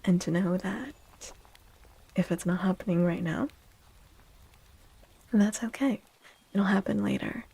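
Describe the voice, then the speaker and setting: A woman livestream host speaking in a soft, gentle ASMR style, often half-whispering in a breathy, soothing and affectionate way. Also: mid-range voice, a little slow, pauses often. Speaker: livestream host doing tarot readings.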